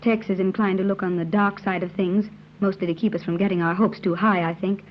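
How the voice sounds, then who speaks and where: A woman playing a gentle, anxious, well-spoken mother in an old-time radio serial. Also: medium pitch, fairly even intonation, fairly quick, natural voice. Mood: gentle, concerned. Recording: muffled, noisy vintage radio recording with hum.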